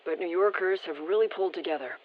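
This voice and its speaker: A woman's voice: lowish voice, fast talker. An actress playing a female field operative in a video game, giving matter-of-fact intel reports through a radio filter. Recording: muffled, band-limited radio transmission.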